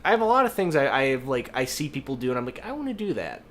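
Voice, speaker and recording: A man's voice: medium pitch, sing-song, racing, a bit bright. A man co-hosting a podcast, telling rambling anecdotes in casual chat and swearing freely. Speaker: podcast host. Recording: noticeable background noise.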